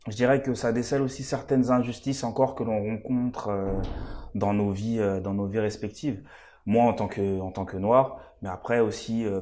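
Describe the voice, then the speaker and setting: A young man in his twenties speaks with a somewhat low voice, earnest and a little hesitant. He pauses on fillers and repeats words as he gathers his thoughts, in a relaxed interview manner. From Congo-Kinshasa, raised in France. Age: 20s. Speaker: stage actor, interview guest. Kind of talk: casual interview answers.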